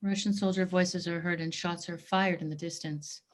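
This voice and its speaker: A woman's voice: fairly low pitch, steady tone, moderate pace. A woman reading stage directions as scripted narration for a play.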